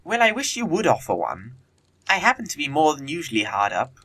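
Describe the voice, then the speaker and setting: Man acting flippant young gentleman, bright and lively. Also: a little high, a little fast, breathy edge, heavily acted. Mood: mock reproachful. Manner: arch, drawling, theatrical delivery of epigrams. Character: witty, teasing young English gentleman, flippant bachelor dandy. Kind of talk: audio play acting of period comedy.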